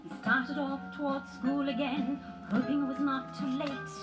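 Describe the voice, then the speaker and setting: A woman's voice: high voice, fairly even intonation, unhurried. A woman narrating a children's storybook in a scripted read-along style, with music behind her. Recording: loud guitar music under the voice. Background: sing-along music.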